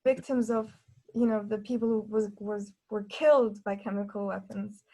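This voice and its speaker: A woman from Norway taking part in a panel discussion, talking in an earnest, reflective way and pausing to restart her thoughts as she goes. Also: medium pitch, steady tone, warm tone, rough recording. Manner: earnest, thinking aloud with pauses and restarts.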